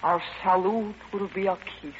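An actress playing an earnest, deadpan Soviet woman envoy who softens into romance, in an old-time radio drama. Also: mid-range voice, moderate pace, natural voice. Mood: tipsy, romantic. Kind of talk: 1940s-style radio play acting. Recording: muffled, noisy old radio broadcast.

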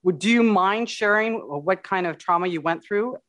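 A man's voice: high-pitched, moderate pace, fairly bright tone. A man interviewing a guest, affirming often with short agreements and summing up what he hears. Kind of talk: supportive interview questioning.

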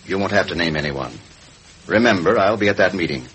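Low, forceful crooked politician. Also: male voice, lively intonation, fairly quick, rough recording. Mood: firm, controlling. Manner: curt, commanding. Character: crooked politician, cool, domineering schemer. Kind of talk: 1940s radio drama acting. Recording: old radio drama recording.

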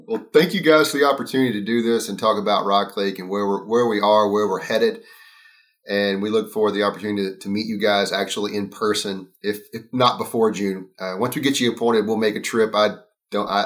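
Fast-talking man with an East Texas drawl. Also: on the low side, fairly even intonation. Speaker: podcast guest. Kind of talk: casual podcast chat.

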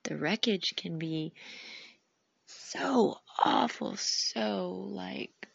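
A woman hosting a podcast, talking in a rambling, heartfelt way and often dropping to a soft whisper. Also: medium pitch, lively intonation, extremely slow, creaky, slightly rough, no echo, muffled recording. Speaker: podcast host. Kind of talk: casual reflective monologue.

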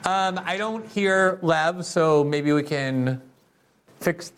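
A man's voice: on the high side, takes it slowly, a bit bright, smooth. Earnest man hosting a political show. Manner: earnest, long winding questions. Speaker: show host. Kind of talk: political interview and sponsor read.

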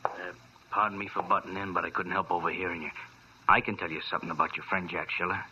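An actor playing a sly, tough male thug who talks low, quiet and threatening. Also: steady tone, fast talker. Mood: quietly menacing. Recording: dull old radio broadcast with hiss.

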